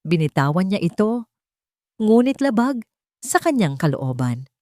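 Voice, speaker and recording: A woman narrating a scripted romantic drama in an earnest, sentimental way with dramatic pauses. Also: mid-range voice, very animated, dark tone, no room sound, studio quality. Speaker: narrator of romantic audio stories.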